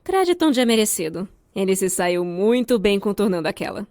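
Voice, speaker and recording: A woman's voice: medium pitch, very animated, a little fast, a little mellow, no echo, clean studio sound. A young adult woman with a Brazilian accent giving scripted, comedic storytime narration in a theatrical, wry way. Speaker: storyteller, fan-dub voice actor.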